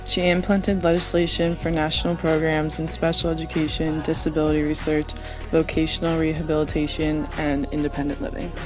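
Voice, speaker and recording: A woman's voice: low voice, little pitch movement, brisk. A young woman with an American accent reads a scripted documentary narration. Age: young adult. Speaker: student narrator. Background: music.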